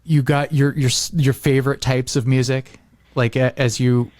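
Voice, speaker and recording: Man, average pitch, very little room sound, casual conversation, agreeable, loose meandering phrasing. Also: level tone, moderate pace, darkish tone, no room sound. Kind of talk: casual chat.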